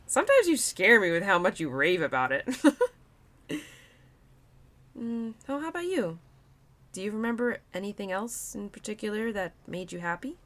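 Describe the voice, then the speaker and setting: A woman voicing a young female spirit of autumn in an intimate audio roleplay, caring and expressive, playful and easily excited. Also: medium pitch. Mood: fond, cheerful. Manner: expressive, bubbly, giggly, naive about humans.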